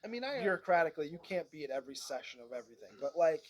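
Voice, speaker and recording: Man, high voice, fast talker, offhand co-host remarks. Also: animated. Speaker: podcast co-host. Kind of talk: casual review chat.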